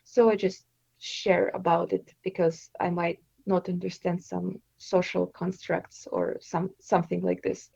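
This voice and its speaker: A woman's voice: lowish voice, flat delivery, a little slow, gravelly, warm tone, husky, no room sound, highs cut off. A woman in her twenties from Estonia, a native Russian speaker, answering interview questions in a hesitant way with many fillers. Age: 20s. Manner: hesitant, with frequent fillers and restarts. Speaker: interview guest, streamer.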